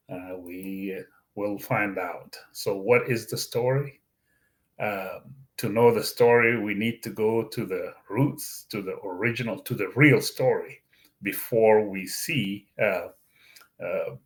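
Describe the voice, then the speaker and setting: A man whose first language is Arabic talks through a Bible teaching at a very slow, careful pace. His voice sits somewhat low. He pauses often, fills the gaps with "uh," and restarts his sentences. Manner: slow, deliberate, with frequent fillers and restarts. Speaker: YouTube channel host.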